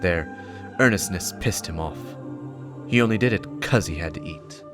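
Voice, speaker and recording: A man's voice: low voice, lively intonation, normal speed. A man reading scripted dramatic lore narration as a storyteller and channel host, with music behind him.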